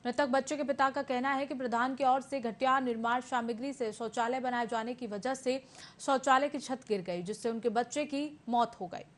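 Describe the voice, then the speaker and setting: A woman's voice: on the high side, fairly even intonation, moderate pace. A woman anchor narrating a television news bulletin in a formal scripted style. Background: background music.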